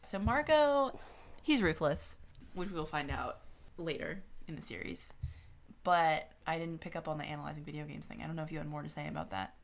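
A woman's voice: low voice, expressive, fairly quick, vocal fry. A woman co-hosting a podcast, chatting casually about books in an informal way. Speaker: podcast co-host. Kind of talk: casual book discussion.

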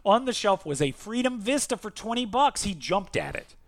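A man's voice: high voice, big pitch swings, normal speed, no echo, clean studio sound. A man co-hosting a podcast, reading listener mail and chatting in a theatrical, emphatic, jokey way. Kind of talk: lively casual podcast chat and letter reading.

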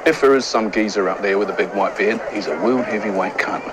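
A man's voice: on the low side, a little fast, natural voice. An actor playing a foul-mouthed, brash middle-aged male vigilante with a British accent, ranting crudely in an outdoor scene. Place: outdoor rock-concert church service. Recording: TV clip with crowd and noise.

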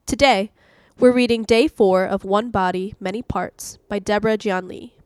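A woman's voice: medium pitch, fairly even intonation, normal speed. A woman hosting and narrating a scripted devotional reading. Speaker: host reading daily devotionals.

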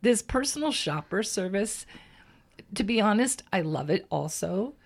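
A woman co-hosting a casual chat podcast, her voice husky and froggy from a cold, asking friendly questions and laughing often. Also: medium pitch, animated, measured pace. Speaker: podcast co-host.

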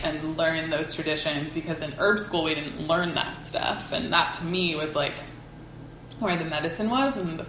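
A woman's voice: sits low, echoey room, background noise. A woman talking casually and thoughtfully in an interview, searching for her words as she goes. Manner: thoughtful, informal, searching for words in long winding sentences. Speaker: interview guest, folk herbalism teacher. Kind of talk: casual reflective interview talk.

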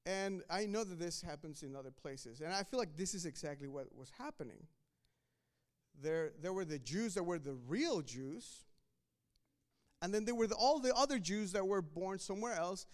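Man, pastor, sermon, conversational, resonant voice, slightly high pitch. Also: animated, normal speed. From Mexico. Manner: conversational, with frequent restarts and repeated words.

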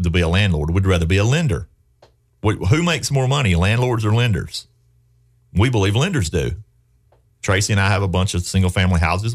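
A man hosting a real estate radio show in a studio, talking in a folksy, persuasive way and asking leading questions. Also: sits low. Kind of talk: casual radio talk.

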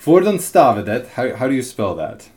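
A young man co-hosting a casual podcast, telling little stories with a wry, animated delivery. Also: medium pitch, normal speed. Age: young adult. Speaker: podcast co-host. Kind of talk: casual chat.

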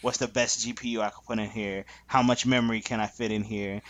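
A man's voice: lowish voice, flat delivery, fast talker, fairly bright tone. A man co-hosting a podcast, chatting informally and thinking aloud as he goes. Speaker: podcast co-host. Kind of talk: casual chat.

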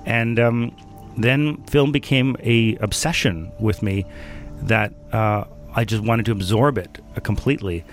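Low-voiced thoughtful man from Denmark. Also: steady tone, faint music behind. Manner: thoughtful, many pauses and fillers. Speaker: guest. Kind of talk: reflective interview answers.

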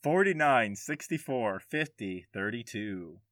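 A man with a mid-pitched voice hosts a casual podcast quiz. He reads questions and answers in a slightly halting way. The sound is dry, with no room on it. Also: expressive, fairly bright tone, dry recording.

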